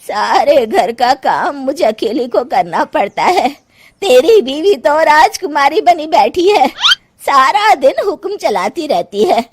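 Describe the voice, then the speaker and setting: A woman's voice: high voice, big pitch swings, takes it slowly, a little hiss or hum, heavily acted. A woman voicing an exasperated, wily older mother-in-law in a cartoon comedy, with a grumbling, sarcastic manner. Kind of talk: Hindi cartoon comedy acting.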